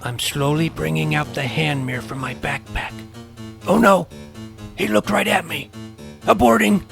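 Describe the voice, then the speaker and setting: An actor playing an eager, suspicious, jumpy twelve-year-old boy vampire hunter in an audio drama, with a creaky edge to the voice. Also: on the high side, unhurried, fairly bright tone, faint music behind, heavily acted. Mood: panicked.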